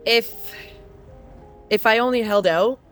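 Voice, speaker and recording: Earnest trucker from Croatia, hesitant. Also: male voice, sits high, a bit bright, soft background music. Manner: earnest, frequent um pauses. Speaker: truck driver interviewee. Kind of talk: casual interview answers.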